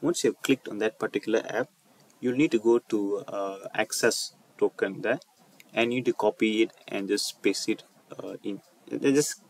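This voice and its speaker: A man presenting an unscripted coding walkthrough over a screen recording, speaking haltingly and often repeating and rephrasing his phrases. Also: fairly low pitch. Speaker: tutorial presenter.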